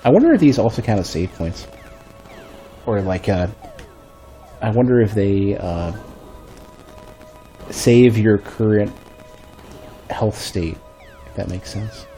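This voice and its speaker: A man streams a game at his desk mic, talking half to himself in a low, bass-heavy voice. His tone is dark and relaxed, a touch stuffy, and he circles back and restarts sentences as he thinks. Game music plays softly underneath. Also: takes it slowly, long pauses, poor audio. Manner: rambling, thinking aloud with restarts. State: a bit stuffy. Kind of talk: casual gameplay commentary. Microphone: desk microphone.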